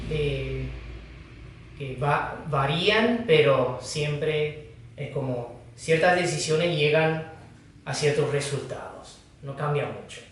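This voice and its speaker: Slow-speaking man in an echoey hall. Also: fairly high pitch, a little hiss or hum. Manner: slow, halting, with pauses and fillers. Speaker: Buddhist teacher. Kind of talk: dharma talk. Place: hall of a foundation. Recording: echoey room, distant mic.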